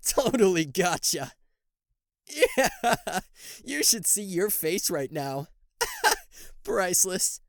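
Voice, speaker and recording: A man's voice: high-pitched, wildly swooping pitch, drawn-out words, long pauses, no room sound, studio quality, heavily acted. A man playing a cocky, easily flustered king of hell in an ASMR roleplay, sarcastic, indignant and theatrical, speaking to the listener. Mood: teasing.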